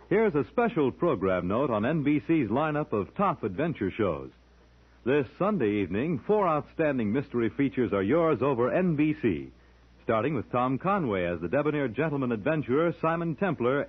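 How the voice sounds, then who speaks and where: A man's voice: medium pitch, breathy edge. A man announcing in a polished, formal mid-century radio promotional style. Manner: polished, formal showman delivery. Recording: tinny vintage radio broadcast.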